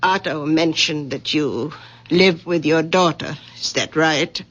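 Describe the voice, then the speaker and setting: A woman's voice: low-pitched, takes it slowly, fairly bright tone, full character voice. A woman acting in a radio drama as a frail, self-conscious older aunt, hesitant and breaking off her phrases. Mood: polite, subdued. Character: frail, self-conscious old village aunt, awed and insistent. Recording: dull, muffled old radio recording.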